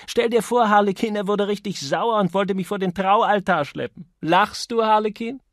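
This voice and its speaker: A man's voice: sits high, moderate pace, a bit bright, no echo, studio quality, voice pushed up. A man voicing a flighty, spoiled young woman who chatters in a gushing, insistent way, as an audiobook character. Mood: gushing, excited. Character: flighty, spoiled young party woman, petulant and craving thrills.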